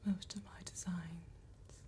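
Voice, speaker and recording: Casting: woman to play an ageless female vampire tattooist for gothic ASMR roleplay; breathy whisper, unhurried, seductive, coolly amused. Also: on the low side, steady tone. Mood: soothing, businesslike. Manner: slow, measured, formal, old-fashioned phrasing. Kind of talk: soft-spoken ASMR fantasy roleplay.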